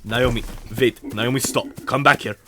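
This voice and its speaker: A man's voice: medium pitch, steady tone, moderate pace, background noise, natural voice. A man voicing a stern, worried academy professor in an amateur fantasy audio drama, speaking in a formal, urgent manner. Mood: alarmed.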